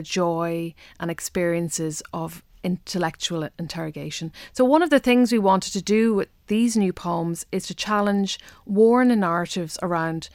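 A woman poet with a Donegal Irish accent speaks in a fairly low voice, thoughtful and measured, pausing now and then on small fillers. Her first language is Irish. The sound is close and dry, with no room around it. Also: no room sound. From Donegal, Ireland. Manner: thoughtful, with soft hesitations. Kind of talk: interview monologue.

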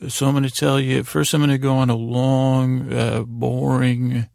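Male bedtime podcast host, heavy vocal fry, flat intonation, halting and whimsical rambler who loses his way between words. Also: medium pitch, no echo, clean studio sound. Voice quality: gravelly, creaky. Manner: gently silly, whimsical.

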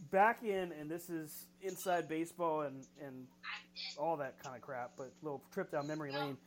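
A man's voice: fairly high pitch, normal speed, slight background noise. A middle-aged man co-hosting a casual chat, laughing often and swearing casually. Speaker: podcast co-host. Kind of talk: casual chat about wrestling.

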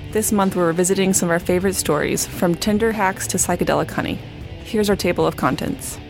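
A woman hosting a magazine podcast, reading measured scripted narration. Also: on the low side, gravelly. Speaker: podcast host, magazine editor.

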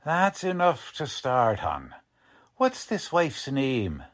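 An actor playing a cocky, condescending police lieutenant in a 1940s-style radio drama, brusque and slangy. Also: male voice, medium pitch, wildly swooping pitch, slow. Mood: brusque, probing. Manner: brusque, slangy, ungrammatical tough-cop talk.

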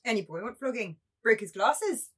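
A woman's voice: fairly high pitch, expressive, moderate pace, husky. A woman voicing a bald little jack-in-the-box priest, a threatening schoolmaster who barks in a staged reading. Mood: menacing. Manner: barking, menacing. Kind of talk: dramatised novel table reading.

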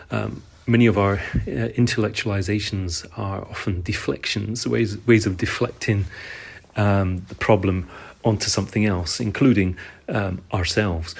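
Husky, gravelly man leaving a voice message. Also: on the low side. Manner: hesitant, halting, circling back. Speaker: podcast call-in contributor. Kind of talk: unscripted voice message.

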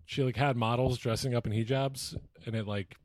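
A man's voice: mid-range voice, fairly even intonation, fairly quick, darkish tone. A man co-hosting a comedic sports podcast in casual chat, with a mocking, profane streak. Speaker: podcast host.

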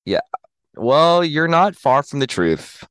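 Slightly raspy and smooth in tone, a man in his late twenties chats casually as a podcast host. He is animated and giggly, and his close, dry recording has no room sound. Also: a little high, takes it slowly, no room sound. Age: 20s. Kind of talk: casual chat.

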